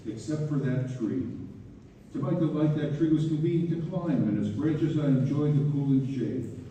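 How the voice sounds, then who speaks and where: Man as older publisher, genial, nostalgic, measured letter reading, very muted dark tone, distant echoey hall. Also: medium pitch, slight background noise, natural voice.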